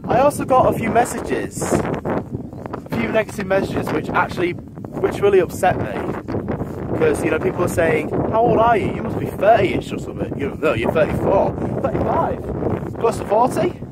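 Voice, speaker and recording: A man's voice: high voice, animated, moderate pace. A man, rambling and correcting himself while vlogging on a walk. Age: 20s. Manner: rambling, many restarts and self-corrections. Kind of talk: rambling casual vlog. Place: outdoors on a windy grassy embankment. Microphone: phone held at arm's length. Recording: phone audio with loud wind noise.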